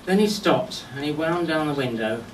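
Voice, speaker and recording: A man's voice: fairly high pitch, expressive. Echoey, distant man giving a memorial tribute. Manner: storytelling in short phrases with deliberate pauses. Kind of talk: eulogy with humorous anecdotes. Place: golf club function venue at a memorial service. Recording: echoey distant mic with background noise.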